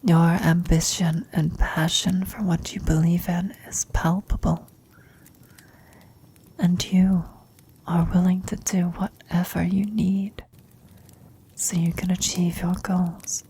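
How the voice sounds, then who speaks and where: A woman playing a tender, serene elderly former adventurer on her deathbed in an ASMR roleplay, speaking in a reflective, breathy whisper. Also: on the low side, flat delivery, takes it slowly, warm tone, heavily acted. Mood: admiring, tender.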